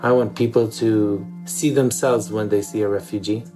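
A man's voice: fairly low pitch, fairly even intonation, normal speed, music in the background. A man from East Jerusalem speaking as an interview guest in an earnest, reflective way, often repeating words for emphasis. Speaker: interview guest, theater director.

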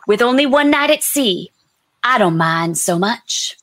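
Woman playing a boastful young Southern heir, bright. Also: fairly high pitch, animated, a little slow, no echo. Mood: proud. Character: proud eldest plantation boy, heir to his father. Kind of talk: amateur dramatic reading at a launch party.

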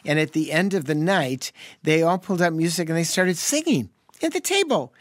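A man's voice: fairly high pitch, very animated, normal speed, dry recording. A man on a radio health call-in show, explaining things in an easygoing way and often restarting sentences.

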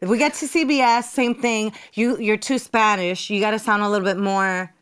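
A woman from New Jersey, a TV and radio personality, telling lively anecdotes as a guest and acting out what others said. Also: mid-range voice, fairly quick, clear, resonant tone. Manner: lively anecdotal, acts out quoted voices. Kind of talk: casual chat.

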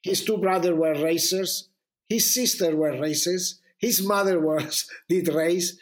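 A man with foreign-accented English telling anecdotes casually as a journalist guest, halting and repeating words for emphasis. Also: sits high.

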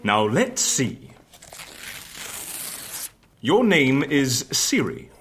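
A man playing a genial, slightly bumbling agency interviewer in an audio comedy drama, polite and musing with a husky voice. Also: medium pitch, huge pitch swings, very slow, fairly bright tone, a little hiss or hum, exaggerated voice. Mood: genial, wry.

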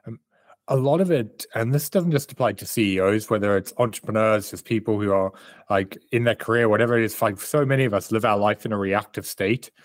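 Low-voiced man, candid coaching guest. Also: a little fast, dry recording. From South Africa. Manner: candid and reflective. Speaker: guest, performance coach and author. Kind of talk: remote interview answers.

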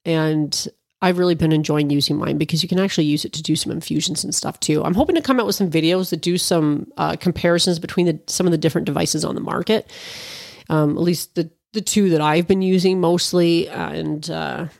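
A woman's voice: low voice, fairly even intonation, brisk, gravelly, darkish tone, dry recording, studio quality. A woman from Canada, a bit stuffy from allergies, chatting casually and rambling to her viewers about cooking. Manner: rambling, informal, with fillers and asides. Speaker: cannabis cooking vlogger.

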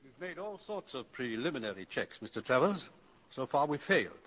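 A man playing a dignified, stiff British ambassador in a 1950s radio drama, husky and very proper. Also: mid-range voice, very animated, brisk. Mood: stiff, affronted. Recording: muffled, reverberant 1950s radio broadcast.

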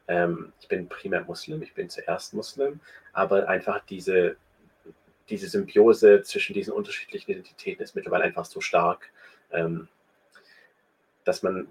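A man's voice: low voice, slightly rough, rough recording. A man being interviewed, thinking aloud and explaining his views at length with frequent restarts and fillers. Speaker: guest. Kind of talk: conversational interview.

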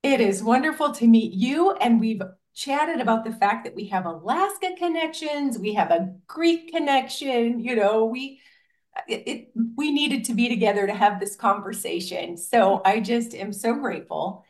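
Gravelly-voiced older woman podcast host. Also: a little high, animated, moderate pace. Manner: warm, grateful, effusive. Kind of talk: podcast interview and intro.

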